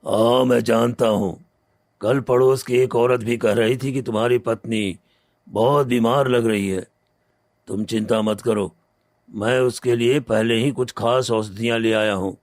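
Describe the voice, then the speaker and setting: A man's voice: mid-range voice, fairly even intonation, unhurried, no room sound, clean studio sound, close to an everyday voice. Guy doing a cold scheming rich moneylender, grave bossy big brother type, mid voice, cartoon tale. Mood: feigned concern. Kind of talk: cartoon moral story acting.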